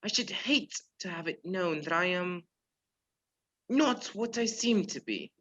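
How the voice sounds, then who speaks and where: A performer playing a polite, measured male professor who is secretly a menacing spy chief in a radio play. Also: medium pitch, animated, a bit bright. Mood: quietly menacing. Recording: dull lo-fi virtual recording.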